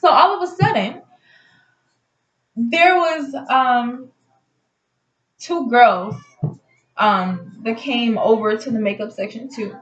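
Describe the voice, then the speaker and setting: A woman's voice: on the high side, slow pace, long pauses, fairly bright tone. A woman telling a personal story in a casual, rambling, conversational way, like a vlogger chatting to her viewers. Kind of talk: casual storytime chat to camera. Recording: roomy echo, slightly dull lo-fi sound.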